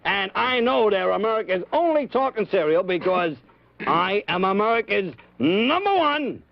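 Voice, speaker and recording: A man voicing a proud puppet private-eye inspector making a sales pitch to children on vintage TV. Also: sing-song, normal speed, creaky, heavily acted. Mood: proud, salesmanlike. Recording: muffled vintage TV audio with loud music.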